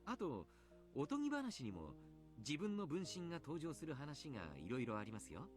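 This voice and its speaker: Kindly coach, wildly lilting voice. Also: male voice, fairly high pitch, huge pitch swings, quick pace, over music. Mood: calm storytelling. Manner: polite, chatty, lilting. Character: jovial, kindly male adult coach, playfully teasing. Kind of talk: Japanese visual novel voice acting.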